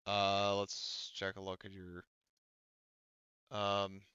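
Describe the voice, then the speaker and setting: Deep-voiced man game master, muffled recording. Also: completely flat delivery, a crowd in the background. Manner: halting, self-correcting explanations. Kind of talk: casual tabletop gaming chat.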